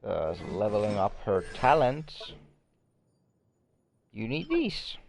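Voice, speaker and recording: A man's voice: on the low side, huge pitch swings, slow pace, vocal fry, slight background noise. A man giving casual, offhand gameplay commentary as a streamer, crude and often trailing off. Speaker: game streamer.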